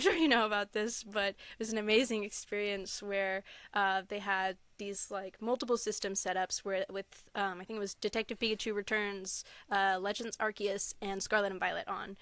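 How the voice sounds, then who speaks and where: A woman's voice: mid-range voice, fairly bright tone, clean tone, dry recording. Bubbly, giggly young woman guest. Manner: bubbly, gushing, laughs often, many "like"s and "um"s. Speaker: podcast guest, content creator. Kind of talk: casual interview chat.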